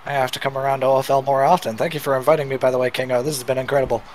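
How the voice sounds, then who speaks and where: A man commentating as a guest on an online game broadcast, slightly raspy from losing his voice, prone to excited outbursts. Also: medium pitch, very fast, a little hiss or hum. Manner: excitable, bursts of exclamation. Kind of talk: sports commentary.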